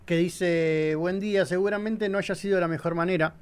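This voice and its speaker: A woman's voice: lowish voice, fairly even intonation, moderate pace, darkish tone, smooth. A woman, a radio co-host, reading a listener's message aloud.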